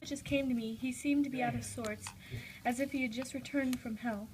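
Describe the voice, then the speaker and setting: Obedient young noblewoman, read by a woman, fairly high voice, amateur puppet drama, faintly noisy recording. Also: fairly even intonation, fairly quick, darkish tone, lightly acted. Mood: troubled. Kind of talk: amateur scripted puppet-show acting.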